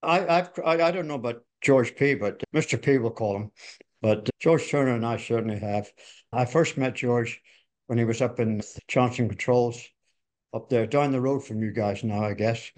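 An older man co-hosting a podcast, slightly hoarse from a cold, reminiscing in a rambling, folksy way with frequent restarts. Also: mid-range voice, normal speed. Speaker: podcast co-host. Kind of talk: conversational industry reminiscence.

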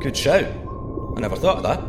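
An actor playing a curious, cheeky astronaut explorer, informal and wry, in a sci-fi audio drama. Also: male voice, mid-range voice, sing-song, a little fast, heavy background noise, close to an everyday voice. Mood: thoughtful. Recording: music behind the voice. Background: music.

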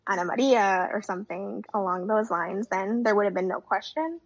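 A young woman with a gravelly, slightly husky voice, chatting casually as an interview guest. She talks easily, peppering her speech with fillers. The audio is dull and lo-fi. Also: mid-range voice, normal speed. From United States. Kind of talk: casual conversation.

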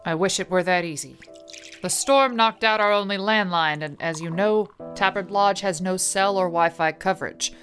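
An actress playing a brisk, dutiful woman who runs a remote mountain lodge in a radio play. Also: medium pitch, fairly bright tone, close to an everyday voice. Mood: firm, matter-of-fact. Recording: music behind the voice.

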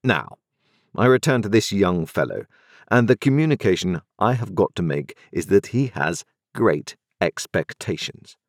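Brusque formal lawyer voiced by a man, clipped, interrogating, lively rising pitch, dry close sound. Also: a little slow, dry recording, full character voice. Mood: stern, formal. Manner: clipped, formal, cross-examining. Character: brusque, overbearing middle-aged male lawyer. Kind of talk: audiobook character voice.

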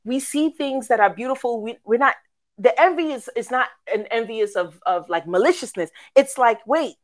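A woman's voice: on the high side, expressive, moderate pace. A woman, reflecting casually and expressively as a podcast co-host. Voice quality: raspy. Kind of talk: casual faith discussion, personal reflection.

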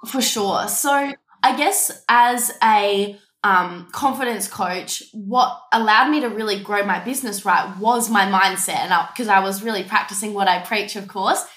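A woman's voice: medium pitch, a little slow, fairly bright tone. A young woman who is a business coach, telling her story earnestly and reflectively as an interview guest. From Denmark. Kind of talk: casual interview. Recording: echoey room.

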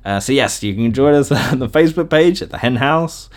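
A man's voice: medium pitch, expressive, brisk. A man hosting a casual solo podcast, chatting in a rambling, jokey way and often interrupting himself. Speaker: podcast host.

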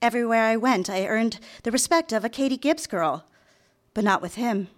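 An actress playing an earnest, polite young career-minded secretary in a live radio drama. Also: female voice, fairly high pitch, normal speed, lightly acted. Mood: reflective. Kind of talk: live radio drama acting with some narration.

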